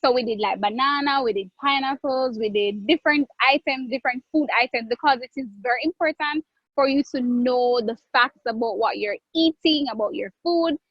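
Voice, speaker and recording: A Jamaican woman chef answering viewer questions in a live online cooking class, chatty with drawn-out phrases and restarts. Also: high-pitched, slightly rough, dry recording, lo-fi sound. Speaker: guest chef.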